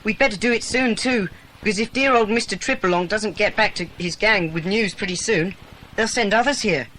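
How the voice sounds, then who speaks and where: A woman playing a sensible, forthright child in a children's audio adventure, brisk and practical. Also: mid-range voice, fairly bright tone, a little hiss or hum, natural voice, rough recording. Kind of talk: dramatised children's audiobook acting.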